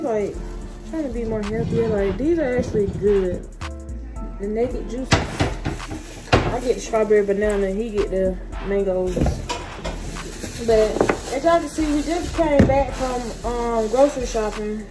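A woman's voice: a little high, a little slow. A young woman chatting casually to the camera in her home kitchen, playful and full of slang. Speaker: vlog co-host. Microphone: camera on the counter. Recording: echoey kitchen with loud music.

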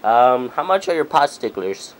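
A young man speaking casually as a customer on a prank phone call, halting and repeating himself. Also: medium pitch, big pitch swings. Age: young adult. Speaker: prank caller, video host. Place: small room at home.

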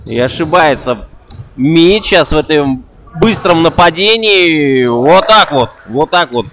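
Young male play-by-play caller, higher-pitched, excitable delivery, faint ball bounces in a gym. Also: a little hiss or hum. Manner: quick excitable play-by-play with a repeated catchphrase. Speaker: basketball commentator. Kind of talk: live play-by-play commentary. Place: indoor basketball gym.

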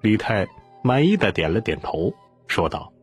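A man narrates a dramatic audiobook tale in a fairly low voice. His intonation swings widely and the tone is a little husky, with faint music underneath. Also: big pitch swings, moderate pace. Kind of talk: scripted dramatic story narration.

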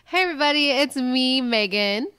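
A woman's voice: high-pitched, lively intonation, normal speed, sharp tone, clean tone. A young woman chatting casually and playfully while her friend plays a video game, joking and giggling. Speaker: co-host of a gaming channel. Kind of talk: casual gameplay chat.

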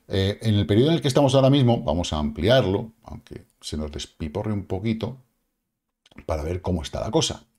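A man's voice: deep voice, warm tone. A middle-aged man with a Spain Spanish accent, talking casually to camera about the crypto market, joking and addressing his viewers directly. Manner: chatty, jokey, addresses viewers directly with asides and repeated "vale". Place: small indoor studio with purple lighting.

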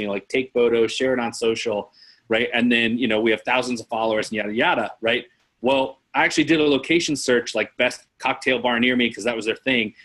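A man's voice: mid-range voice, a bit bright. A man in his forties explaining things conversationally as a guest expert on a video call, often checking the listener follows. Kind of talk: casual explanatory chat with anecdotes. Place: indoor room on a video call.

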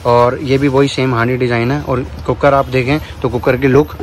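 A man's voice: mid-range voice, level tone, moderate pace, crowd noise. A man filming a casual vlog in a crowded shop, chatting with the seller and repeating his answers to the viewers. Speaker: vlogger. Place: crowded cooker shop, indoors.

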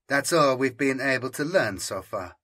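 A man's voice: mid-range voice, lively intonation, moderate pace, fairly bright tone. A male narrator voicing a stern military commissar who speaks bluntly and plainly. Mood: grim.

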